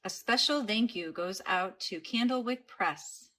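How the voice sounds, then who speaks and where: A woman's voice: medium pitch, a little slow, a bit bright. A woman hosting storytime for young children, talking in a playful, sing-song way and prompting them to join in with questions. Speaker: children's storytime host at a library. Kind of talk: read-aloud and playful talk for young children.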